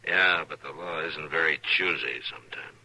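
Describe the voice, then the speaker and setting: A brooding American frontier marshal in an old radio western. The voice is extremely deep and gravelly, terse and grave, with faint noise on the recording. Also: male voice, moderate pace, bright, forceful tone, exaggerated voice. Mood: grave, resigned. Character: stoic, brooding Old West lawman. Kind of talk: 1950s radio western acting.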